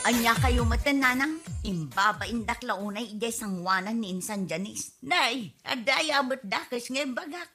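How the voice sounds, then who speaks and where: A woman's voice: fairly high pitch, animated, normal speed, music in the background, lightly acted. A woman with an Ilocano accent playing a nagging, complaining wife and auntie in a whiny, scolding comedy radio drama style.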